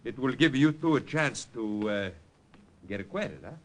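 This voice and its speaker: An actor playing a jovial, hospitable older Italian count with an Italian accent, expansive and hesitant, slipping into Italian phrases, in 1940s radio drama. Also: male voice, medium pitch, animated, normal speed, close to an everyday voice. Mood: genial.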